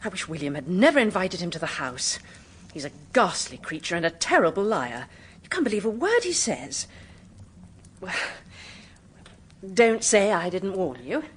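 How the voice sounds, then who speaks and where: A woman's voice: lowish voice, big pitch swings, moderate pace, long pauses, husky. An actress playing a well-bred, evasive British married woman in a radio drama, polite with anxiety under the poise. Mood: airy, disdainful.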